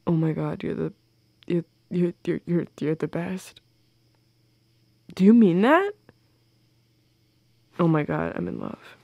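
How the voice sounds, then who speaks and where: A young woman plays an affectionate, teasing girlfriend in an intimate audio roleplay. Her voice is mellow and unhurried, with a noticeable creak. It sounds very close and dry, soft and breathy. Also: on the low side, lively intonation, highs cut off. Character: playful, affectionate goth girlfriend. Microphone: close microphone, dry.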